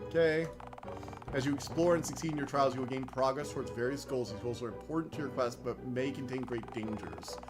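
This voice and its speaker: A man streaming a video game, chatting excitably and reading the game text aloud, laughing often, with a slightly whiny voice. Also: mid-range voice, brisk. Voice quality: self-described whiny voice. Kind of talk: casual let's-play commentary, reading game text aloud. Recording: game music behind.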